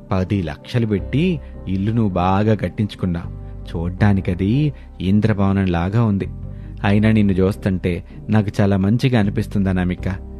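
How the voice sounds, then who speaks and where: Guy doing a kindly older father in law, low voice, affectionate, cartoon tale w music behind. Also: dark tone, close to an everyday voice. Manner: affectionate, emphatic.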